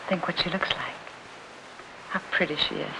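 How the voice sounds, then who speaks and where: A woman's voice: fairly low pitch, steady tone, moderate pace, close to an everyday voice. An American actress playing a poised, spirited young woman in a 1950s film drama. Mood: soothing. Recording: faintly noisy 1950s film soundtrack.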